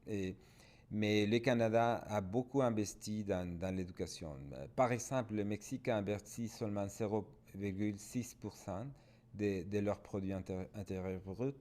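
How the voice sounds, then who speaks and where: Un homme d'origine mexicaine, avec un espagnol mexicain, répond en studio en tant que consultant et répète souvent ses mots. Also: male voice, lowish voice, steady tone, moderate pace, a little mellow. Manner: repeats and restarts words. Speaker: international development consultant. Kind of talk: panel guest answering.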